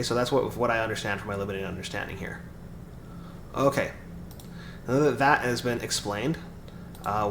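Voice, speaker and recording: A man with a raspy voice chatting casually on a live stream, rambling through explanations with lots of fillers and restarts. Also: lowish voice. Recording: echoey room with background noise.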